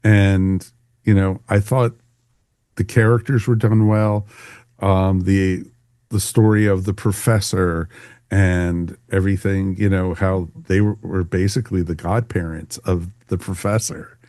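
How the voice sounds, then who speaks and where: A man's voice: low-pitched, steady tone, a little slow, mellow, no room sound, clean studio sound. A man co-hosting a podcast, chatting casually and enthusiastically in an informal fan review. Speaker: podcast co-host.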